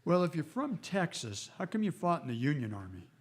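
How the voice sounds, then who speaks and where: A man acts a lawman of the old West for a radio play. The marshal has a somewhat high male voice and speaks plainly, with firm, fair authority. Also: sing-song, fairly quick. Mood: easy, curious. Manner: plain-spoken, commanding. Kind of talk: Western radio drama acting.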